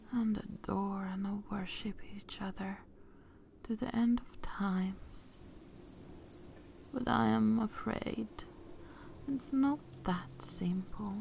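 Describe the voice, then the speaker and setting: A woman playing a seductive, vengeful vampire mistress in an ASMR roleplay, cruel yet loving, speaking in a breathy, often whispered voice with theatrical pauses. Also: medium pitch, a little hiss or hum. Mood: tender, regretful. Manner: slow, theatrical, with long pauses.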